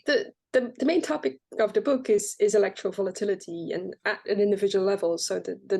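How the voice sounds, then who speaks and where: A woman's voice: mid-range voice, darkish tone. A woman from Belgium who grew up there, talking about her research as an author in an academic interview, often restarting and repeating words. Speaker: author guest.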